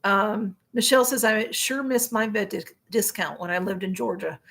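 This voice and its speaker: Chatty woman in her sixties, husky voice. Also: mid-range voice, moderate pace, a bit bright. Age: 60s. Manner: chatty, rambling, laughs often, many fillers. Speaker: livestream host. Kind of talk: casual live-stream chat reading viewer comments.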